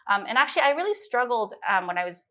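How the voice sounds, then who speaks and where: Bright-toned woman telling her story. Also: on the high side, sing-song, fast talker. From China, raised in the United States. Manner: reflective, detailed storytelling. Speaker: guest, chief science officer. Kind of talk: conversational interview.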